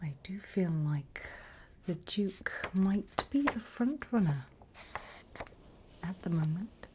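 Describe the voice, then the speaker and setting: A British woman playing a sly, greedy female demon auctioneer in a whispered ASMR roleplay, with a soft breathy voice and a deliberate, coolly questioning manner. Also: on the low side, lively intonation, background noise. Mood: coolly appraising.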